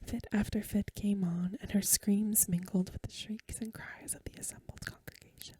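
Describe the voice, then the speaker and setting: A woman reading aloud in a hushed, measured, breathy whisper, in the soft-spoken style of a bedtime ASMR recording. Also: mid-range voice, fairly even intonation.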